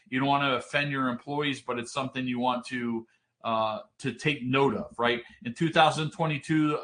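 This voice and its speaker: A man with a deep, commanding voice teaching a webinar, explaining points steadily and often checking in with a quick "right?". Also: a bit bright. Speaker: trainer. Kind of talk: webinar lecture.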